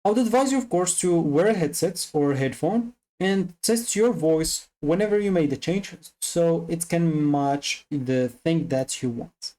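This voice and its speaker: A tutorial presenter giving a casual, loosely improvised software walkthrough with repetitive explanations. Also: mid-range voice, measured pace, few pauses.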